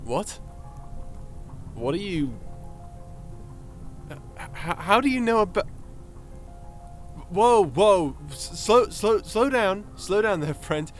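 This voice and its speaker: A man playing a friendly, excitable god in an audio roleplay, chatty and casual, easily flustered into stammering. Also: high-pitched, takes it slowly, long pauses, faint music behind.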